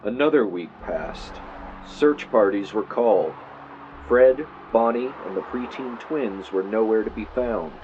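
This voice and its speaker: A man's voice: lowish voice, lively intonation, a little slow. An actor playing a male true-crime podcaster, telling a spooky story theatrically with wry asides into a clip-on mic. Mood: ominous, dramatic. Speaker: podcast host character. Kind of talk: audio drama, true-crime narration recorded on tape. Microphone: mic clipped to shirt. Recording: muffled noisy tape, clip-on mic in car.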